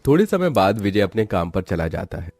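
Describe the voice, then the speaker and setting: A man's voice: on the low side, sing-song, a little fast, a little mellow, no room sound, clean studio sound. A man reading scripted narration as the storyteller of a moral family story.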